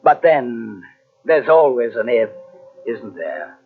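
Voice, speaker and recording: An actor playing a cornered, rueful murder suspect in theatrical 1940s radio mystery style. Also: male voice, medium pitch, very animated, slow pace, over music. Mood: rueful, wry. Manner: theatrical, emphatic. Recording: tinny vintage radio recording on cassette.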